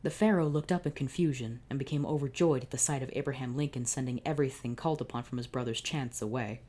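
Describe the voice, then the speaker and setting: Deep, mellow woman reading fanfiction. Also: quick pace. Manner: deadpan narration, exasperated interjections. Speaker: fanfiction reader. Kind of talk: reading fanfiction aloud with sarcastic asides.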